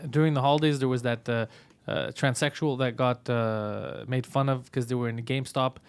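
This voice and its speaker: A young man co-hosting a comedy show, chatting in an animated, mocking way and laughing easily. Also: mid-range voice, fairly quick, clear, resonant tone. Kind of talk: casual comedic chat.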